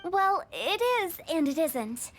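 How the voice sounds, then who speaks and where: Cheeky, offbeat young woman for a game: girlish, theatrical, very high. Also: lively intonation, slow, soft background music, exaggerated voice. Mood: confident, opinionated. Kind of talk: video game voice acting.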